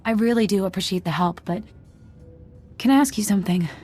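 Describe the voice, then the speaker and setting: Actress as a scrappy woman outlaw, mid-pitched voice. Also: brisk, a little mellow, close to an everyday voice. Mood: wary, confiding. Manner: colloquial, wry, clipped phrasing. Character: scrappy, street-smart woman outlaw, wary and defiant. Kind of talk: video game voice acting. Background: music.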